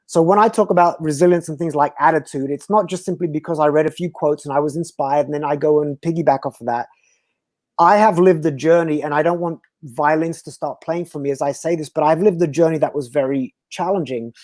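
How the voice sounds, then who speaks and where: A man from Belgium, a guest speaker talking earnestly and philosophically in slow, emphatic phrases. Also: fairly high pitch, darkish tone, no echo. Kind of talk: reflective conversational talk.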